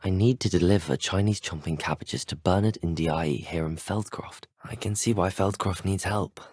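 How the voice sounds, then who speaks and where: Polite, low-voiced British student wizard. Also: male voice, a little mellow, breathy edge, no room sound, studio quality. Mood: musing, determined. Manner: polite, well-spoken, measured. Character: polite, earnest teenage male student wizard, curious and helpful. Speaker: video game player character. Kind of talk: scripted game voice acting.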